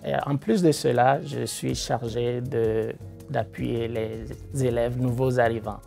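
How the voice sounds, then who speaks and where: A man from Togo who teaches math and science, speaking in an interview with hesitant pauses and fillers. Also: mid-range voice, fairly even intonation, vocal fry, darkish tone, faint music behind. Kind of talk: interview testimony.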